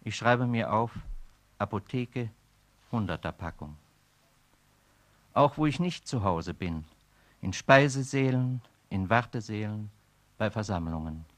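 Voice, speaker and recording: An actor speaking a weary man's poetic inner monologue in a radio play, slow and brooding. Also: fairly low pitch, expressive. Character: weary, brooding man musing on decay.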